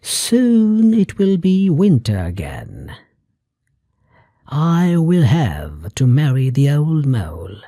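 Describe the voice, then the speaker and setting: A storyteller voicing a tiny young fairy tale girl, slow, plaintive and pleading. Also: mid-range voice, wildly swooping pitch, warm tone, no echo, clean studio sound, exaggerated voice. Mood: sad.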